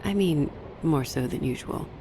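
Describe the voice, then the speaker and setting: An actress playing the smooth female hostess of a seedy club, speaking in a hushed, breathy, suggestive way. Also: low-pitched, animated, normal speed, background noise. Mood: teasing.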